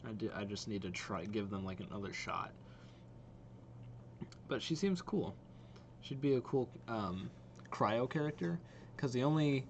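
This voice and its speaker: A man's voice: lowish voice, muffled recording, slight background noise. A young man hosting a game reaction video, giving casual, off-the-cuff commentary. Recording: faint game music behind voice. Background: game trailer music.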